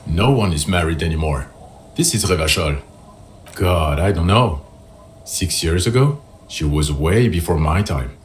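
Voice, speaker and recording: Low-voiced, blunt, jaded cop. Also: male voice, animated, measured pace, slight background noise. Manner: blunt, dry, sardonic. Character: jaded, blunt, foul-mouthed male police officer. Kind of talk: video game dialogue. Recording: music playing behind the voice.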